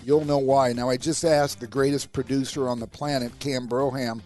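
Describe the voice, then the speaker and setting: A man's voice: medium pitch, fairly even intonation, moderate pace, a little mellow, soft background music. A man hosting a motivational podcast, sounding nasal from a stuffed-up sinus, talking in an emphatic, rallying way. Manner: emphatic, repetitive, rallying delivery. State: sinus congestion. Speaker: podcast host and motivational speaker.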